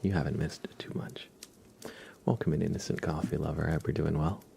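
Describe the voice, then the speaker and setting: A man hosting an ASMR livestream, chatting softly and gently and often slipping into whispers. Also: extremely low pitch, steady tone, brisk, creaky, slight background noise. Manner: gentle, hushed, drifting into whispers. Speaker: livestream host.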